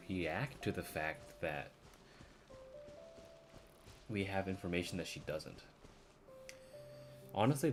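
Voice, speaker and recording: A man giving casual livestream commentary on a game, blunt and slangy, with swearing. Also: sits low. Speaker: game streamer. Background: game music.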